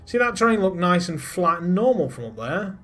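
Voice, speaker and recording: A man's voice: high voice, very animated, a little fast, a bit bright. Joking man streaming a space game. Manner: casual, joking, self-deprecating banter; chats to viewers while piloting. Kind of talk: live gameplay commentary.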